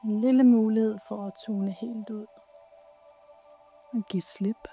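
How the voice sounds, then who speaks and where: A woman leading a guided yoga nidra meditation in a soothing, soft, unhurried way with pauses. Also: fairly high pitch, fairly even intonation, soft background music.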